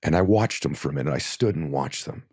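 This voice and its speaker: Brief: male guest, deep earnest voice, rises to passionate emphasis, dry close recording. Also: lively intonation, fast, a little mellow, breathy edge, no echo. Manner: earnest, builds to impassioned emphasis when telling a story. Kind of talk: conversational interview storytelling.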